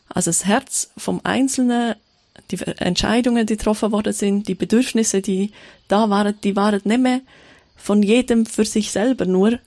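Woman preaching, gravelly, dry sound. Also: medium pitch, darkish tone, no room sound. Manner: thoughtful, with frequent fillers and restarts. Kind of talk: sermon.

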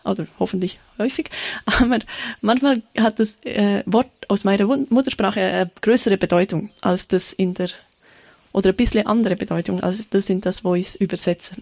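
A woman preaching in a reflective, unhurried way, with a gravelly edge to her voice. She keeps stopping to restart her sentences and fills the gaps with small hesitations. The sound is dry and close. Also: medium pitch, darkish tone, dry recording. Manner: thoughtful, with frequent fillers and restarts. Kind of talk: sermon.

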